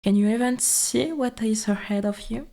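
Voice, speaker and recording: A woman voicing an ancient, dominant forest god in an ASMR roleplay, speaking in formal, archaic wording with a breathy half-whisper and quiet menace. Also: on the high side, normal speed. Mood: curious, looming.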